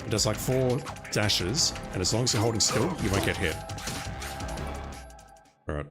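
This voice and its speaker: Deep voiced guy, russian first language, chatting loosely while gaming, game music going behind. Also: unhurried. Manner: relaxed, musing, chatty. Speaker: streamer. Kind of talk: casual gameplay commentary.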